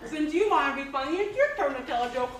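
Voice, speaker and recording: A woman reading the stage role of a chatty, teasing friend who loves telling jokes, in an animated storytelling way. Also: high voice, quick pace, a little hiss or hum. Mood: joking. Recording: very echoey room, distant mic.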